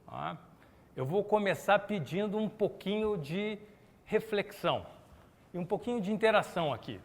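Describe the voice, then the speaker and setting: A man's voice: sits high, moderate pace. A middle-aged Brazilian man giving a chatty conference keynote, polling the audience with questions. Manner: animated, chatty, asks the audience to raise hands. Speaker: conference speaker. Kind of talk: lively corporate keynote talk with audience questions. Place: conference hall. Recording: long reverb of a conference hall.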